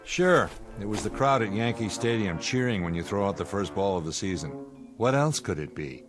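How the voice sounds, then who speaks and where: Actor for sarcastic male American space commander, radio play: lowish voice, needling, short on patience. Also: expressive, moderate pace, music in the background, natural voice. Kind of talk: radio drama acting.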